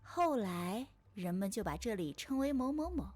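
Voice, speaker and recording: A woman's voice: on the high side, lively intonation, normal speed, a little mellow, faint music behind. A woman playing a composed young storyteller in a visual novel. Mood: calm storytelling. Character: composed young woman recounting her family's old legend. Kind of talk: visual novel character dialogue.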